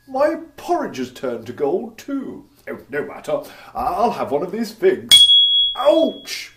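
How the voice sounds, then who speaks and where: A theatrical old king whose voice swoops from hushed to wailing, as a performer acts him out. He speaks slowly and dramatically. An echoey room surrounds him. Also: medium pitch, heavy background noise, full character voice. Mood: baffled. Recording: roomy echo.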